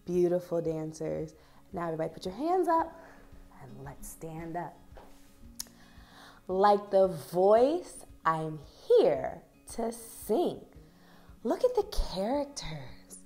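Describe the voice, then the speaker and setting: A woman in her thirties, a children's dance teacher reading and instructing young children in an expressive, deliberate, encouraging way. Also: fairly low pitch. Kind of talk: storybook read-aloud and instruction for young children.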